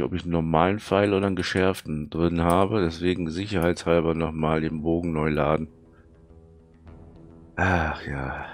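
A man with a cold and a hoarse, thick voice, casually commenting on his gameplay and muttering to himself as he plays. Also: low voice. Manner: chatty, muttering to himself while playing. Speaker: let's play commentator.

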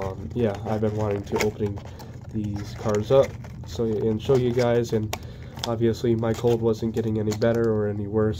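A young man with a cold, chatting casually and hesitantly while unboxing model cars on camera at home. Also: on the low side. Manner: hesitant, many ums and restarts; flat, even delivery. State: congested from a cold. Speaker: diecast collector reviewing model cars. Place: indoors at home, plain wall. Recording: noisy home recording.

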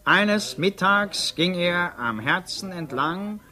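A male public prosecutor in a radio drama. His voice is fairly high and lively in pitch. He is brisk, ironic and used to giving orders. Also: crowd noise. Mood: dictating.

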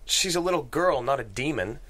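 Man playing skeptical priest, blunt, incredulous, medium pitch, scripted drama. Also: animated, a little fast, fairly bright tone. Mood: exasperated. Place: small podcast studio.

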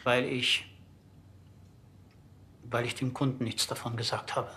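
An actor playing a formal, defensive undertaker, polite and businesslike, in a TV crime comedy. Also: male voice, medium pitch, flat delivery, a little slow, bright, forceful tone, a little hiss or hum.

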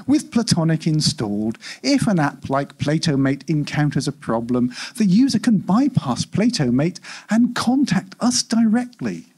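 A man voicing a polite, implacable customer-service AI in a live story reading, with smooth scripted corporate patter. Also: a little high, sing-song, a little slow, few pauses, a little mellow, full character voice. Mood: polite, insistent.

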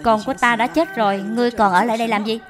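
A stern old woman from a cartoon, acted out by a woman, speaks in a harsh, suspicious manner. Music plays clearly behind her. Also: a little high, steady tone, rapid-fire, lightly acted. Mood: furious scolding. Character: stern, bitter old blind mother-in-law. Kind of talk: cartoon dub acting.

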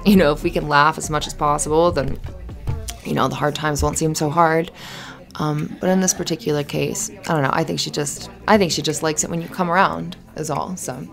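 Woman acting a flustered low-voiced young barista woman. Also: creaky. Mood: bashful, amused. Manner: flustered, halting, giggly, chatty. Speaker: voice actor in audio roleplay. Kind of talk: ASMR roleplay, natural conversational acting.

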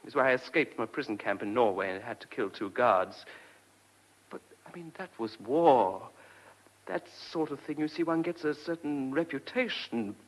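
A man's voice: mid-range voice, animated, normal speed, close to an everyday voice, poor audio. An actor playing an edgy, weary fugitive husband and former soldier in a radio drama, earnest and hesitant, repeating his words. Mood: agitated, confessing.

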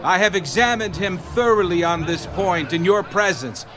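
A man's voice: high voice, moderate pace, close to an everyday voice. An actor playing an authoritative, exasperated Roman governor in a dramatized scripture, declaiming his judgments in a commanding way. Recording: loud music and crowd noise behind.

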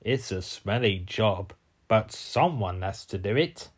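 A man's voice: on the low side, expressive, takes it slowly, breathy edge, heavily acted. A man voicing a friendly, dutiful rubbish-collecting engine in a children's cartoon.

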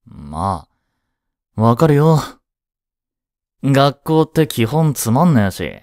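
A male actor plays a cheeky, laid-back high school boy in a romantic audio drama. He talks slowly in casual slang with an animated range of pitch, teasing yet protective and reassuring. The sound is close and dry. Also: no room sound. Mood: easygoing, reassuring.

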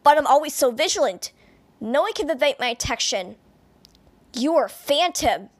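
Casting: woman as valiant male dog for cartoon dub, high voice, earnest declarations. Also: animated, moderate pace. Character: earnest, heroic, self-serious male dog. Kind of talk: amateur cartoon fandub acting.

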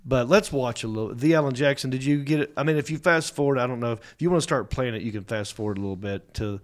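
A man's voice: medium pitch, quick pace. A man, hosting a podcast in a studio and telling stories in casual, teasing chat. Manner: animated storytelling, teasing. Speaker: podcast host. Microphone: studio mic on boom.

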